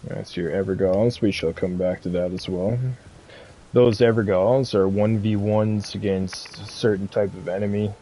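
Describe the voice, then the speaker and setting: Laid-back, flat-toned man, slightly low. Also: normal speed, low-quality recording. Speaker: game streamer giving a walkthrough. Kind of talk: casual gameplay commentary.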